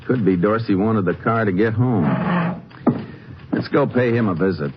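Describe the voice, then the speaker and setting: A man plays a methodical, stern ranger in a vintage radio crime drama. His voice is very low and his delivery firm and matter-of-fact. The recording is muffled with age. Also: normal speed, loud music behind the voice, natural voice. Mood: businesslike. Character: stern, methodical male Texas Ranger lawman, narrating his case. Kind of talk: old-time radio crime drama acting.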